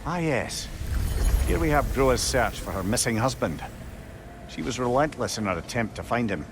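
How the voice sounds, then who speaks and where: A man's voice: mid-range voice, moderate pace, noisy background, natural voice. A man with a Scottish accent playing a wry, learned old sage telling tales in a dramatic video game cutscene. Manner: wry, expressive, storytelling.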